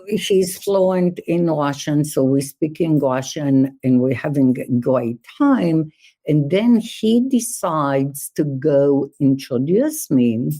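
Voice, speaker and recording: Low, slow-talking woman born in Poland. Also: dark tone. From born in Poland, grew up in Israel. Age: older. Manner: storytelling, thoughtful, measured. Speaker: guest, security expert. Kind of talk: podcast conversation.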